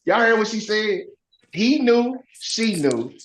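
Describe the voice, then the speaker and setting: A hoarse man hosting reaction commentary, talking in an animated, preachy way. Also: high voice, slow pace, echoey room, slight background noise, rough recording. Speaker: commentary host.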